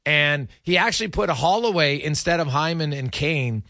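A man with a fairly high voice, recorded dry and close. He hosts sports radio and chats casually about hockey, musing in a halting, conversational way. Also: lively intonation, pushed and bright, no echo. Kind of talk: casual hockey analysis chat.

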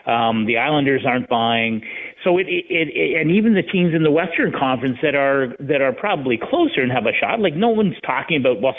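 A man giving casual sports analysis as a guest over the phone, rambling and halting as he thinks. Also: medium pitch. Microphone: phone call. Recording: tinny narrow phone line.

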